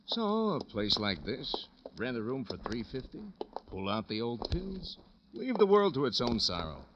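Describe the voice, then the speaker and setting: Rambly jaded flophouse desk clerk, forceful bright voice, philosophizing, old radio show, muffled n hissy. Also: male voice, mid-range voice, sing-song, a little slow. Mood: resigned, chatty. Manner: rambling, philosophizing, self-important. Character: chatty, jaded male clerk of a seedy hotel. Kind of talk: 1950s radio drama character acting. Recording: dull, noisy vintage radio recording.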